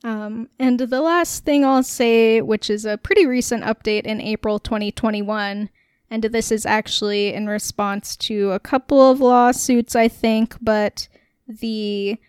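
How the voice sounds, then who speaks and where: A woman's voice: a little high, steady tone, unhurried, darkish tone, clean tone, no room sound, studio quality. A woman co-hosting a casual political podcast, explaining at length with a wry, sarcastic edge and frequent laughs. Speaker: podcast co-host.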